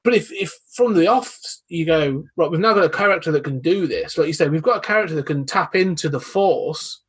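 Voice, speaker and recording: A man chatting casually on a podcast, rambling and animated with many restarts. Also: fairly high pitch, a little fast, slightly rough.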